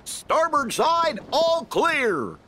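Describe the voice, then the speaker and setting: Casting: excitable cartoon creature sidekick, very high, sharp, wildly swooping, game voice. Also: male voice, drawn-out words, slight background noise, full character voice. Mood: alert. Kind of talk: video game cartoon voice acting.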